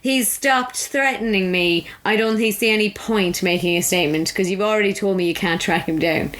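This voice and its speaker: A young woman with an Irish accent telling a personal story casually on a podcast, acting out the dialogue in an animated way. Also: medium pitch, moderate pace, a little hiss or hum. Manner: animated retelling with acted-out dialogue. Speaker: podcast guest.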